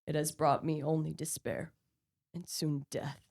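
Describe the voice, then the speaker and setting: Radio play lead: female actor as tormented male scientist, dying and vengeful, low register, solemn melodramatic delivery. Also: little pitch movement, unhurried, gravelly, darkish tone, full character voice. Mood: dying despair. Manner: solemn, anguished, melodramatic declaiming. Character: dying, vengeful male scientist obsessed with hunting his creation. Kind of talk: theatrical radio play acting.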